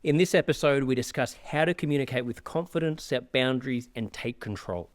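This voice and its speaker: A man hosting a podcast, reading an earnest, measured introduction and then chatting with his guest. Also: mid-range voice, loud music behind the voice. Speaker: podcast host. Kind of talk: scripted podcast intro, then casual interview.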